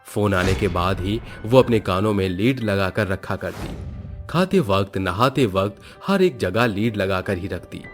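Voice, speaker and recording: A low-voiced man delivers scripted storytelling narration. Soft music plays behind his voice throughout. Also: lively intonation, a little slow. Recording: background music under the voice.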